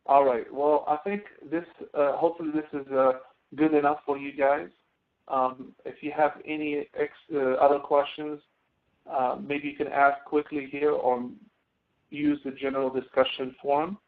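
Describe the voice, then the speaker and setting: A man working as a college instructor, explaining step by step in an online class with a halting delivery that often restarts. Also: a little high, flat delivery. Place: online screen-sharing class session. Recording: tinny phone-like webinar audio.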